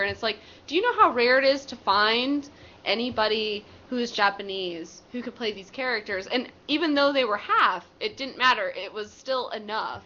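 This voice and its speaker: Bright-voiced young woman, lo-fi recording. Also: medium pitch. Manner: conversational storytelling with frequent ums. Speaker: podcast co-host. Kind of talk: casual group chat. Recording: muffled lo-fi recording with faint noise.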